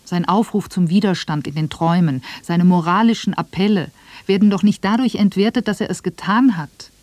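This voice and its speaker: A woman's voice: fairly low pitch, normal speed, a little mellow, dry recording. A woman speaking scripted, argumentative commentary in a radio feature, firm and matter-of-fact.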